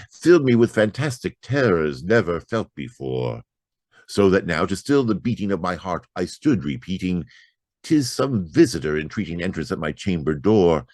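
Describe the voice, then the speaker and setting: Man, very deep voice, unhurried, theatrical poetry reading, dry close mic. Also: dry recording. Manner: theatrical, swinging from loud outbursts to hushed whispers. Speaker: poetry reader.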